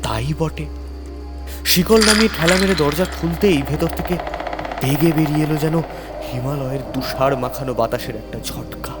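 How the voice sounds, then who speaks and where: A man's voice: fairly high pitch, normal speed. A man narrating a scripted horror story in the first person, with a suspenseful, theatrical delivery. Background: music under the voice.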